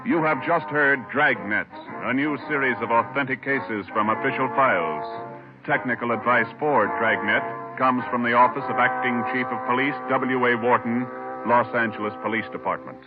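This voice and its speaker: A man's voice: mid-range voice, moderate pace. A man making formal scripted announcements for an old radio police programme. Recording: dull old radio recording, music behind.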